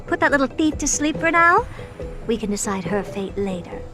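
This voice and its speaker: A woman's voice: on the high side, expressive, normal speed, close to an everyday voice. An actress playing a haughty, sardonic genius woman scientist in a video game, condescending, theatrical and commanding. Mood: annoyed. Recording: long hall reverb with music behind.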